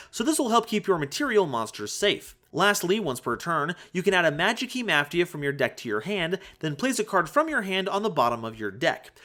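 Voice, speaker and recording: Male explainer host, high-pitched and very lively, playful emphasis, music underneath. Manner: animated, playful, emphatic delivery. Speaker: host of a card game analysis video. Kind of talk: scripted explainer narration with jokes. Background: background music.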